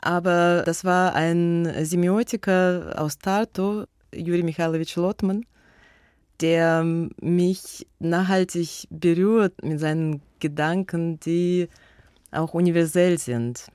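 A woman's voice: fairly low pitch, fairly even intonation, slow, darkish tone, smooth, no echo, studio quality. A woman with a Russian accent, a native Russian speaker, answering radio interview questions about her museum work in a hesitant way, with many fillers and restarts. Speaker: museum curator and guest.

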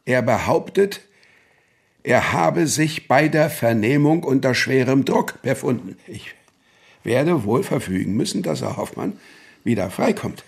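An actor playing a cautious, unyielding Prussian state official in a radio play, measured and authoritative. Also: male voice, medium pitch, lively intonation, vocal fry. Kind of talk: radio drama acting.

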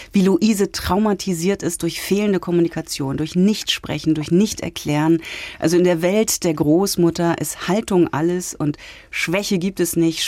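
A woman's voice: lowish voice, dark tone, no echo. A woman hosting a radio book segment, explaining novels in an articulate, conversational way as a literary critic.

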